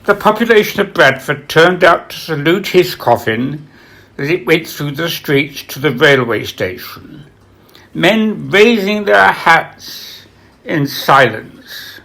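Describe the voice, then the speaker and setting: Slow, solemn man reading aloud. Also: fairly high pitch, expressive, vocal fry, pushed and bright. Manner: solemn, measured, dramatic pauses. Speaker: author reading from own book. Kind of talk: scripted literary narration, biography reading.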